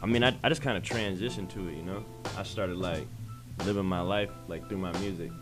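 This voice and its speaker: Low-voiced, laid-back American man. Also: brisk, music in the background. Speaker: guest musician. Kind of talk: casual interview chat. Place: small radio studio. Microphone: studio mic.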